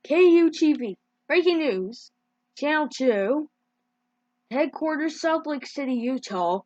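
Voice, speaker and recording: Halting high-voiced woman improvising news bulletins. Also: expressive, a little mellow, dry recording, highs cut off. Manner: halting, improvised delivery with repetitions and mid-word corrections. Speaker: amateur video creator playing emergency news announcer.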